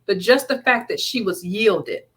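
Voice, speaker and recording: A woman's voice: mid-range voice, animated, measured pace, fairly bright tone, husky. A woman speaking as a guest author, answering in a conversational, sincere and reflective way about matters of faith.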